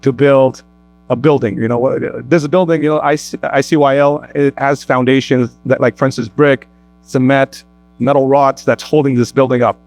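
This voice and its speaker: A man's voice: medium pitch, fairly even intonation, normal speed. A man hosting a community talk show recorded at a mosque, speaking in an easygoing way with plenty of fillers. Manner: easygoing, warm introductions with fillers. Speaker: host.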